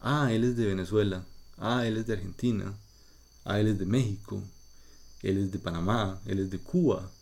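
Costa Rican male podcaster, low mellow voice with gravel, patient and measured teaching delivery. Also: fairly even intonation. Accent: Costa Rican Spanish. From Costa Rica. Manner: measured, patient, thinking aloud in short phrases. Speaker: podcast host. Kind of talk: slow explanatory monologue for learners.

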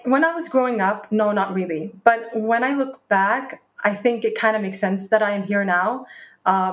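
A young woman from Sweden, a startup founder, answering interview questions in a chatty way, often restarting her words and acting out past conversations. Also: medium pitch. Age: young adult.

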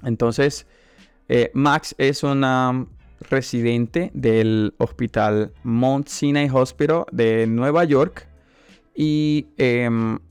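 Guy hosting a casual podcast, clean smooth voice, dry sound, kinda halting, repeats himself. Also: mid-range voice, a little mellow, no room sound. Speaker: interview host. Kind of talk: casual bilingual podcast interview chat.

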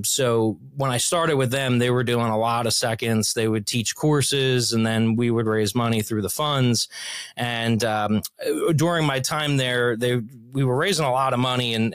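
A man's voice: mid-range voice, smooth, dry recording. A man in his thirties from Philadelphia, talking easily as a podcast guest, rambling a little with frequent fillers. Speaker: guest, real estate investor. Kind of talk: casual interview talk.

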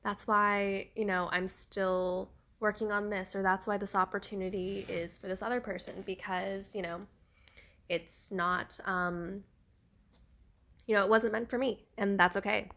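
A woman's voice: mid-range voice, flat delivery, unhurried, a bit bright, a little hiss or hum. Congested young woman rambling on her solo podcast, stuffy nasal voice, lots of likes and you knows. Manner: chatty, lots of fillers. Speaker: podcast host; theater actor.

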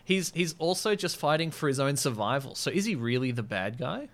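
A man's voice: a little high, lively intonation, brisk, a bit bright. A young man chatting casually and jokily as a podcast co-host, sometimes mock-serious. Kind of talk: casual comedic podcast banter.

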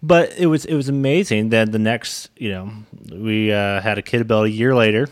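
A man's voice: fairly low pitch, moderate pace, dry recording. A young man hosting a casual interview, talking in an informal, easygoing, meandering way. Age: young adult. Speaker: podcast host.

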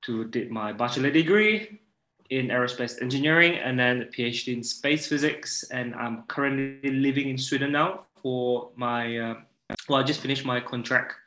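Male guest, thirties, Malaysian accent, bright forward voice, casual chat, roomy echo. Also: medium pitch. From Borneo, Malaysia. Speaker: podcast guest. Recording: echoey, roomy sound.

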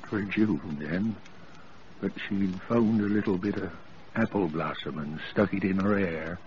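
A man's voice: deep voice, unhurried. An actor playing a kindly old countryman with an English West Country accent in an old radio drama. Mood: sombre, wistful. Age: older. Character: kindly old rustic countryman recalling a sad tale. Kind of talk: 1940s radio drama acting. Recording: muffled, noisy old radio broadcast.

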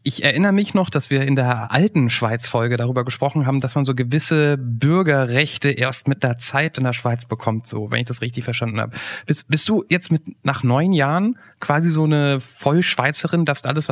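A man's voice: medium pitch, moderate pace, few pauses, no room sound. A man co-hosting a podcast in casual chat, rambling a little and correcting himself as he goes. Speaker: podcast co-host.

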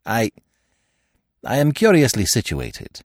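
A man's voice: mid-range voice, wildly swooping pitch, slow, no room sound, clean studio sound, heavily acted. A man reading aloud, voicing a nervous, guilty middle-aged stepfather who stammers and speaks in a hasty, panicky way.

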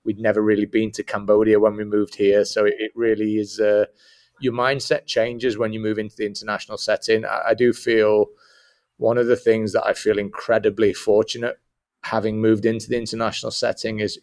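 A middle-aged man with a northern Midlands English accent, a guest talking reflectively and modestly, often repeating words. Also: on the low side. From Northern England and Midlands, UK. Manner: self-deprecating, repeats words. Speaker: interview guest, school principal. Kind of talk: casual reflective interview talk.

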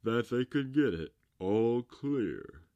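A man voicing a pompous, coaxing walrus from a storybook, with a grand, drawn-out, theatrical delivery. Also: mid-range voice, animated, warm tone, lo-fi sound, pitched above the performer's own voice. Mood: musing. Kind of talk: storybook character reading.

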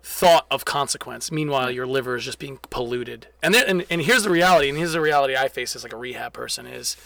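A man's voice: mid-range voice, fast, vocal fry, fairly bright tone, no echo. A man who works in addiction rehab, chatting casually in an earnest, explanatory way and agreeing often. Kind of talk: casual chat.

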